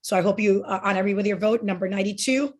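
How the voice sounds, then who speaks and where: A woman's voice: medium pitch, quick pace. A woman in her forties from Miami, giving an earnest campaign speech as a judicial candidate, with frequent ums and restarts.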